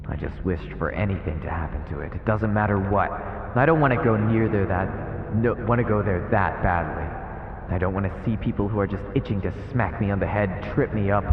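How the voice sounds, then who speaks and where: A man streaming a horror visual novel, reading it aloud as dramatic, ominous narration. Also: low voice. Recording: echoey reverberant muffled sound with music. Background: game music.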